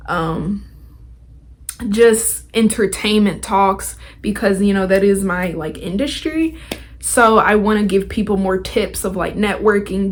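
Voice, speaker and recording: A young adult woman hosting a podcast, talking in a casual, motivational way with chatty, informal, slangy phrasing. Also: medium pitch. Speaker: podcast host.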